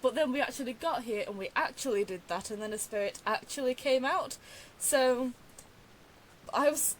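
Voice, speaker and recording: A woman's voice: high voice, moderate pace, low-quality recording. A woman giving casual, chatty, rambling reaction commentary as she plays a video game. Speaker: game streamer. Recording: faint background hiss.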